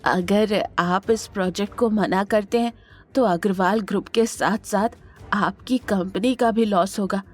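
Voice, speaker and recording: A woman's voice: medium pitch, a little slow, lightly acted. A woman playing a stern, authoritative elderly matriarch and businesswoman in an audio drama, firm and insistent. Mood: insistent, pleading. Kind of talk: Hindi audio drama acting.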